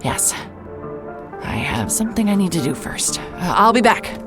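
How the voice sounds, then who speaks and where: An actress playing a nervous, urgent investigator who stammers and hesitates. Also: female voice, medium pitch, big pitch swings, normal speed, over music, close to an everyday voice. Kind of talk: audio drama acting.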